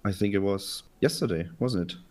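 Low-voiced male podcast co-host. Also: moderate pace, a little mellow. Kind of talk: casual podcast chat.

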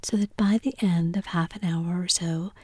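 A woman reading a storybook aloud in a steady whisper, ASMR style. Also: lowish voice, moderate pace, husky, no echo.

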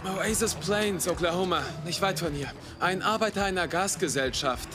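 An actor playing a serious, thoughtful young monster hunter with an earnest, questioning manner in a dubbed TV drama. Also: male voice, high voice, normal speed, noisy background, close to an everyday voice. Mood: serious, explaining. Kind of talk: German-dubbed TV series acting. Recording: loud music over the voice.

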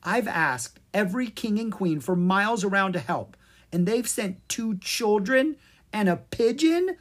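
A haughty winter troll princess from a storybook, put on by a woman, curt and easily irritated. The voice is husky, with animated pitch. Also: unhurried. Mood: scornful. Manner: curt, commanding.

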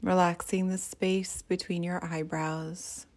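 A woman leading a guided meditation as a teacher, speaking in a soothing, gentle and unhurried way. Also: on the low side, little pitch movement.

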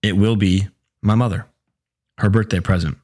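A young man talks loosely into his podcast mic, laid-back and easy, swearing now and then. His voice sits a little low and sounds hoarse and worn, as if his throat is giving out. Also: fairly even intonation, moderate pace, darkish tone. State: sore throat, losing voice. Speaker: podcast host. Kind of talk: casual solo podcast chat.

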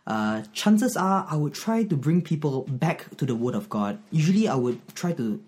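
A man's voice: a little high, big pitch swings, normal speed, darkish tone. A man from Singapore with a Singaporean accent, a Bible teacher talking earnestly as a podcast guest and laughing easily. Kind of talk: podcast conversation.

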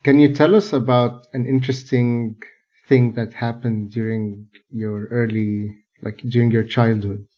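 Slow-talking young male podcast host. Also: mid-range voice. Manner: relaxed, playful, unhurried. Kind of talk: casual interview. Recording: dull lo-fi audio with faint music.

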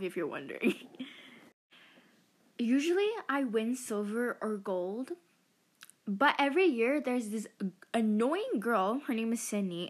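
A teenage girl hosting her first podcast, chatting in a casual, self-mocking way and often giggling. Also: mid-range voice. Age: teens. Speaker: podcast host. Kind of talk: casual chat.

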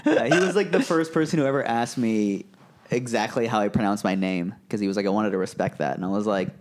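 A man's voice: fairly low pitch, fast talker. A young man, a comedian guest on a podcast, telling stories in a loose, chatty way full of fillers and restarts. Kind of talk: casual podcast chat.

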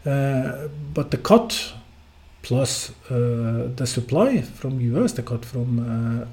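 A man from Norway with a Norwegian accent, hosting a solo podcast of financial market commentary in halting, filler-heavy phrasing. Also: mid-range voice, expressive, darkish tone. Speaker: podcast host.

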